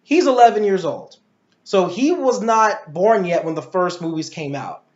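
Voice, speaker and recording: A woman's voice: on the low side, expressive, normal speed, a little mellow. A woman giving an opinionated, casual movie review, ranting emphatically with swearing and a rambling, exasperated delivery. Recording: roomy echo, dull lo-fi sound.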